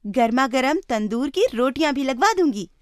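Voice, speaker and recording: A courteous young sister from a bedtime tale , put on by a woman storyteller. Her voice is fairly high with lively swings of pitch. She sounds capable and assuring, in a dry recording. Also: normal speed, no echo. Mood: polite, businesslike. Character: polite, capable young sister running a small eatery.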